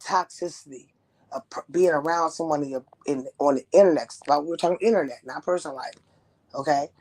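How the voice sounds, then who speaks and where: A woman hosting a live stream talks into a headset mic. Her voice carries a gravelly fry, and she talks in an animated, slangy, preachy way, calling guests sis and baby. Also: low-pitched, fairly quick. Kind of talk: casual live stream talk. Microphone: headset microphone.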